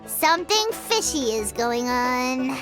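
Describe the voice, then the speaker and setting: A tiny, blunt fairy girl, chatters in an extremely high, squeaky voice. Her cheeky game-companion delivery bounces up and down over clear music. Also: very animated, takes it slowly, heavily acted. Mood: curious. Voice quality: squeaky, childlike. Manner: cheeky, speaks of self in third person. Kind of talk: scripted video game voice acting.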